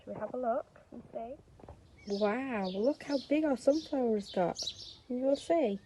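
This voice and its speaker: A woman's voice: on the high side, a little slow, noisy background. A woman speaking to young children as a teacher and story reader, explaining simply, with questions and emphasis. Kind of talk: speaking to young children, reading a picture book.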